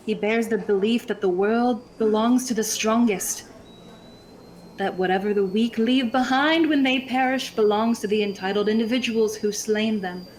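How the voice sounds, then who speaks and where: A woman's voice: fairly high pitch, normal speed, lightly acted, poor audio. A woman playing a vampire lord's loyal, haughty minion in a scripted ASMR roleplay, speaking formally and persuasively with disdain. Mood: haughty, admiring.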